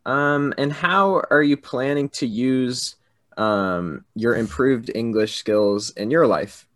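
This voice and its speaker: A man's voice: medium pitch, slow pace, a bit bright, dry recording, studio quality. A man conducting an interview, asking questions patiently and encouragingly. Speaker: interviewer, English teacher.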